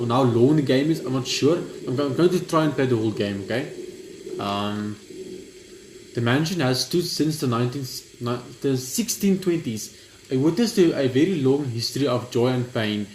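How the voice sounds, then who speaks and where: A young man plays and talks through a game in a casual way. He reads aloud haltingly, tripping over words. The recording is echoey, with some noise behind him. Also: mid-range voice, expressive, darkish tone. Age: young adult. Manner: halting, stumbles when reading aloud. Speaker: gaming video host. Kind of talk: casual gameplay commentary and reading aloud. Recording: roomy echo, clear background noise.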